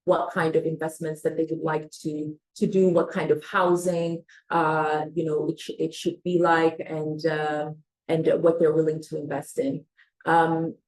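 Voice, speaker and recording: Low-voiced swiss-raised woman running a webinar, lots of ums and restarts. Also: a little mellow, poor audio. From Switzerland. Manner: many fillers and restarts, loose and conversational. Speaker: webinar presenter. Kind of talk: presenting a business webinar.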